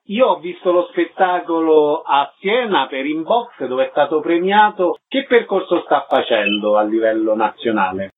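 A man's voice: a little high, animated, normal speed, hardly any pauses, a little hiss or hum. A man hosting a radio arts interview, announcing events and putting questions to guests. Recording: narrow phone-like band.

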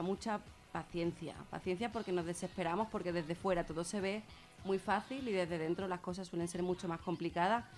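A woman with an accent from Spain speaks as a guest on an informal radio show. Her voice sits a little low, and she explains reflectively, repeating words for emphasis. Faint music plays behind her. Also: a little mellow. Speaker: guest speaker on feminist therapy and gender violence.

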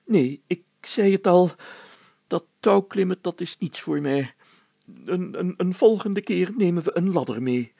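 A man's voice: sits high, expressive, a little slow, vocal fry, dark tone, husky, no echo, voice pushed up, full character voice. A man voicing a plump, fearful older mayor who stammers, blusters and protests in a children's story. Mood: groaning, complaining. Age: middle-aged to older.

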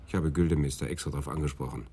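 A dryly dismissive police detective in a radio drama. The voice is extremely deep, with a husky edge, and the delivery is matter-of-fact. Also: male voice, rapid-fire, mellow, heavily acted. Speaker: actor in a radio crime drama. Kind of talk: German radio play acting, office dialogue.

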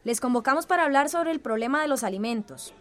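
High-voiced, firm community leader. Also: female voice, expressive, quick pace, a bit bright. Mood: firm appeal. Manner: appealing, orderly, firm. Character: determined community leader calming a village meeting. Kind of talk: radio drama acting.

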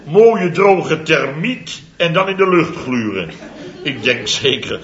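Radio comedy boss: blustering, teasing, chuckling, higher voice, dull old broadcast, audience laughing behind. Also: male voice, sing-song, slow pace, fairly bright tone, roomy sound, full character voice. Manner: laughs through his lines, teasing. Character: blustering, mocking male company boss. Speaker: boss of a building firm. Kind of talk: 1960s radio comedy acting.

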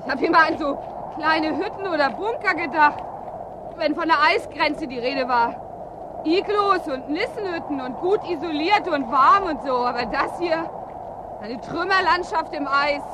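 Actress playing a very high-voiced, insistent woman researcher. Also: moderate pace, natural voice. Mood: dismayed. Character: determined female climate researcher in an icy ruined town. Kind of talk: German radio drama acting. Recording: 1980s radio drama, loud outdoor noise.

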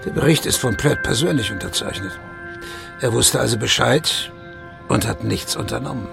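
An actor playing a gruff, direct police sergeant in a German radio crime drama, blunt and businesslike with a gravelly voice. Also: male voice, medium pitch, moderate pace, over music.

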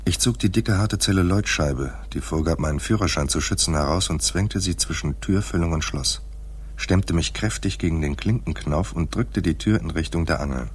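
An actor playing a laconic hard-boiled private detective in a noir radio play, with a dry, terse delivery and a husky voice. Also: male voice, moderate pace, slight background noise, lightly acted. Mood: dry, detached. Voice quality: husky, very deep. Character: hard-boiled male private detective narrating his own case. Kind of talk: noir radio play, first-person narration.

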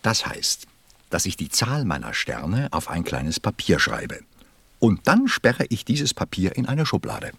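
An actor playing a busy, self-important middle-aged businessman in a radio play, with a gravelly, husky voice and a testy, muttering manner. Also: fairly low pitch, wildly swooping pitch, a little slow, fairly bright tone, no echo, heavily acted. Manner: pompous, testy, muttering, swooping. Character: busy, self-important 54-year-old businessman counting stars.

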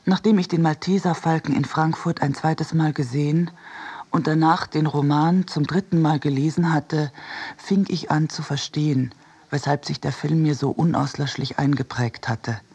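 A man reading as a reflective, wry essayist in a measured, precise literary radio narration. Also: a little high, fairly even intonation, darkish tone. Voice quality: husky, creaky. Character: reflective essayist and cinema-goer, wryly critical.

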